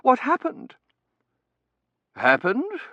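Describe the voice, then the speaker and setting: A man performs a bewildered society matron from a novel. The voice is high and wavering, with vague, drawn-out phrases that swoop about, recorded dry. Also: wildly swooping pitch, bright, forceful tone, dry recording, lo-fi sound, exaggerated voice. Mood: dazed. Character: faint, bewildered, imperious middle-aged society mother.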